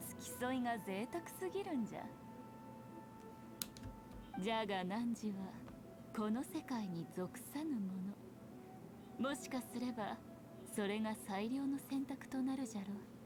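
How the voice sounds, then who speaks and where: A woman voices a high, poised ancient fox priestess in an anime game, haughty yet playful. She speaks in measured, old-fashioned phrases with a knowing edge, over game music. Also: close to an everyday voice. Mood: confiding, serious. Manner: archaic, knowing, measured speech. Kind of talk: Japanese anime game dialogue acting.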